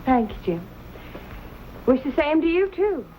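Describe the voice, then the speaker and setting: An actress playing a proud, wounded young frontier sweetheart with a pointed manner, in an old-time western drama. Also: female voice, high voice, sing-song, measured pace, phone-quality sound, heavy background noise. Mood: gentle.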